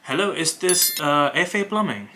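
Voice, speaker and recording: A young man with an Indian accent, talking casually to camera and pitching on sales calls, hesitant with many fillers and restarts. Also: animated, normal speed, background noise. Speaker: web design agency owner making cold calls.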